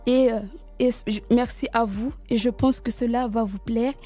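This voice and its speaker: Earnest young student, dark-toned. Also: female voice, fairly high pitch, steady tone, moderate pace, soft background music. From Mayotte. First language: Kibushi. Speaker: student presenter. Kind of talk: school podcast presentation.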